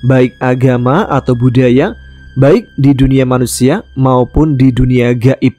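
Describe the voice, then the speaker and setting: A man from West Kalimantan, Indonesia, telling a true-life ghost story in a calm, measured way, voicing the dialogue plainly. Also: mid-range voice. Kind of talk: first-person ghost story narration.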